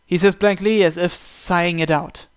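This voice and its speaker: High-voiced man reading a story aloud. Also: normal speed, smooth, dry recording, clean studio sound. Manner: expressive, first-person storytelling with occasional stumbles. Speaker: video game player reading a visual novel aloud. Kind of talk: dramatic read-aloud narration.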